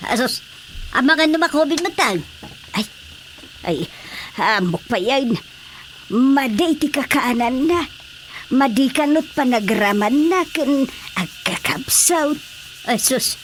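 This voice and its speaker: A woman's voice: a little high, a bit bright, slight background noise. An actress playing a worried woman who frets over a sick child, talking to herself in a radio drama. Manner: fretting, muttering to herself.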